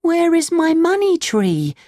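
Naive young storybook boy, played by a woman, high and very animated, earnest. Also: slow, no room sound, studio quality, heavily acted. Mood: upset, questioning. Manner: earnest, childlike, excitable. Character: naive, eager wooden puppet boy. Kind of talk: children's storybook character voice.

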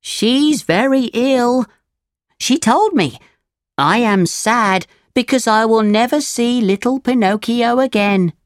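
A woman's voice: fairly high pitch, slow pace, dry recording, studio quality, pitched above the performer's own voice. A woman voicing a small, solemn and wise male talking cricket in a children's storybook. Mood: sad, solemn. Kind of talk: children's storybook character reading.

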